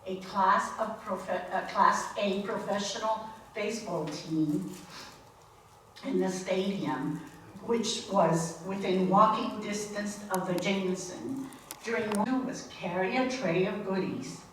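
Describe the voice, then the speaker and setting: A woman reading a memoir aloud at a book signing, unhurried, stopping now and then to correct her own slips. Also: medium pitch. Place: book signing event room. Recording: very echoey room, from far off, faint noise.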